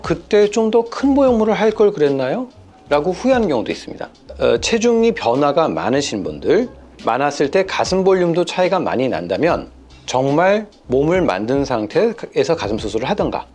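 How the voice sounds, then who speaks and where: A middle-aged man, a plastic surgeon, chatting through common patient questions in a casual, animated way and quoting his patients. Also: a little high. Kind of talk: clinic Q&A explainer video.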